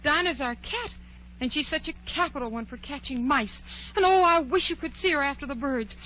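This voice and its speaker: Prim chatty young girl, bright lively voice, faint hum behind, old-school storybook radio play. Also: high-pitched, brisk, low-quality recording. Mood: indignant, chatty. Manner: prim, chatty, thinking aloud. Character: Curious, polite, outspoken young girl lost in wonderland.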